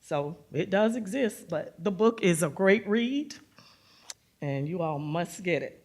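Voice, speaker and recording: A woman's voice: on the low side, expressive, a little slow. A woman in the audience, a veteran's wife, speaking informally about her family's experience in a rambling, storytelling way. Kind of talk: informal remarks at a forum.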